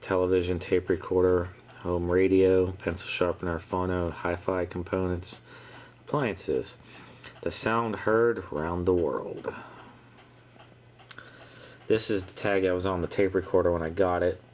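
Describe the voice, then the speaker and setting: A middle-aged man giving a casual, unscripted show-and-tell in a halting, matter-of-fact way. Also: deep voice, fairly even intonation. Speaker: vintage electronics hobbyist presenter.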